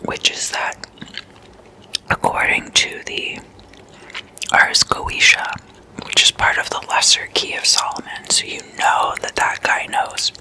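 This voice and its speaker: An ASMR host whispering in an intimate slumber-party chat, addressing listeners as guests and softly repeating words. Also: slow, long pauses. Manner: repeats single words in soft trailing echoes.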